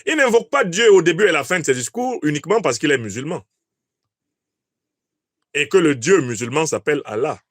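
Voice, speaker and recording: A man giving an oratorical political commentary, declamatory, with emphatic pauses and rhetorical questions. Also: fairly high pitch, animated, fairly bright tone, no room sound, clean studio sound. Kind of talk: oratorical analysis of a speech.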